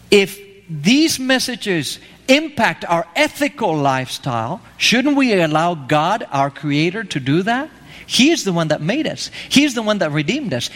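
A man's voice: high voice, a bit bright. Man preaching in a reverberant hall. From Argentina. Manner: pleading, emphatic, repeats key phrases. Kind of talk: evangelistic sermon.

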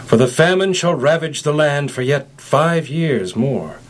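Joseph, a forgiving ruler. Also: male voice, fairly high pitch, expressive, measured pace. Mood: solemn. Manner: solemn, declamatory. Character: Joseph, a grown man, ruler in Egypt, forgiving. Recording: music playing behind.

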